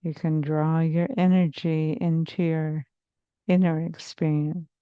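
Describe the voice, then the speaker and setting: A woman therapist leading a guided meditation in a soothing, measured, hypnotic manner with long pauses, on slightly muffled audio. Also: low voice, flat delivery, clear, resonant tone, dry recording. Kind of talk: slow guided meditation narration.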